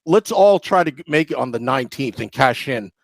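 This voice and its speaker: A man's voice: medium pitch, lively intonation, a little fast, gravelly, no room sound. A man co-hosting a casual podcast, teasing and joking with the others.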